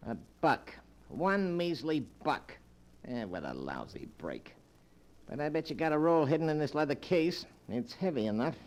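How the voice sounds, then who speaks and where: An actor playing a slangy American street hoodlum in a 1940s radio crime drama. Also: male voice, a little high, big pitch swings, moderate pace, darkish tone. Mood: disgusted, suspicious. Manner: slangy, tough-guy talk. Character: gruff, slangy street stick-up man. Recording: old 1940s radio recording.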